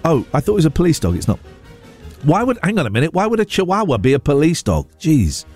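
A man's voice: fairly high pitch, huge pitch swings, fast, a little mellow, slightly rough, soft background music. A man hosting a morning radio show with a blocked nose, chatting casually and reading out stories in a rambling, jokey way, stumbling and correcting himself. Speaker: radio breakfast show host. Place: radio studio.

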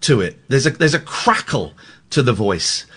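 A man's voice: medium pitch, sing-song, moderate pace, fairly bright tone, slightly rough. A man hosting a radio phone-in from a studio. He talks bluntly, teases his callers and interrupts them. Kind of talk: late-night phone-in chat.

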